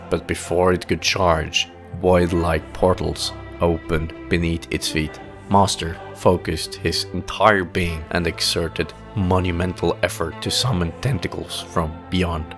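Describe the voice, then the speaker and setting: Casting: male narrator, very low voice, dramatic fantasy audio drama delivery over clear music. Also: little pitch movement, slow. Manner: dramatic storytelling.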